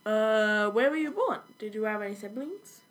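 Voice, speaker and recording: A woman's voice: mid-range voice, animated, moderate pace. A teenage girl hosting a school project interview, reading her jokes and questions from a script in a chirpy way. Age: young teen. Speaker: student interviewer and show host.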